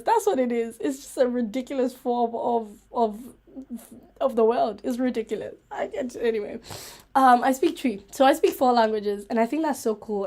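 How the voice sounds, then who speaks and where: A woman hosting a casual, rambling livestream chat, playful, giggly and self-mocking, with an animated, emphatic way of talking. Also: a little high. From Africa. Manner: animated, emphatic, repeating herself for effect. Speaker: host of a chat vlog.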